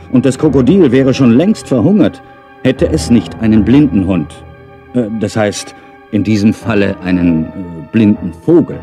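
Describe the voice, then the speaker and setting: A middle-aged man narrating a children's story in a playful way, with wry, chatty asides. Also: fairly low pitch.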